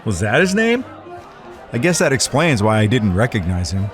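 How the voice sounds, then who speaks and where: An actor playing a cool, cocky, laconic hustler in a hardboiled period radio drama. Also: male voice, lowish voice, big pitch swings, normal speed, soft background music, some chatter behind. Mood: feigning innocence. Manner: laconic, wisecracking. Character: wry, cool-headed Prohibition-era male hustler, cornered but cocky.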